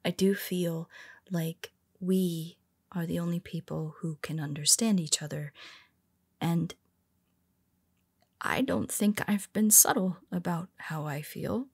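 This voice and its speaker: A woman voices a nervous young heroine who confesses in a low, husky half-whisper. Her words come slowly and her voice is gravelly. She trips over her own restarts and stays soft-spoken throughout. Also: no echo, exaggerated voice. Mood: tender, confessional. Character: tender, nervous young female superhero. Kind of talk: audio roleplay for a listener.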